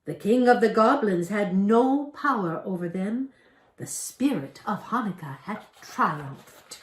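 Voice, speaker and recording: A woman's voice: mid-range voice, animated, slow pace, a little hiss or hum. An older woman reading a story aloud expressively, as a storyteller in a room at home. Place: indoor room at home, by lit candles. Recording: roomy echo.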